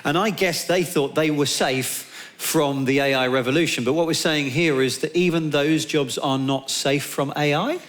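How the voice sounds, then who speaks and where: A man's voice: on the high side, moderate pace, hardly any pauses. A man presenting a technology show, moving between scripted narration and live interviewing.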